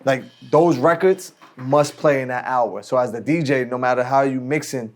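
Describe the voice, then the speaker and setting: A man's voice: mid-range voice, moderate pace. An American man who is a radio DJ guest, talking casually and explaining in slangy, conversational speech.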